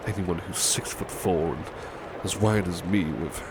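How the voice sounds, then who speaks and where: A man playing a huge, gentle, devoted butler in an ASMR roleplay, speaking in a halting, formal, softly reassuring way. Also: low voice, heavy background noise. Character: huge, gentle, devoted male butler, fanged, red-eyed. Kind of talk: ASMR roleplay, soothing personal attention.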